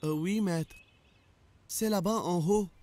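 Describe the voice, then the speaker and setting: An actor playing a servile, nervous traitor disciple in a cartoon. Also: male voice, high-pitched, slow, warm tone, dry recording. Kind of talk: animated cartoon acting.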